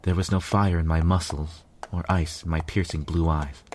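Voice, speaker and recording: Male narrator, low deep voice, scripted first-person storytelling, music behind. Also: fairly even intonation, normal speed, a little mellow, a little hiss or hum.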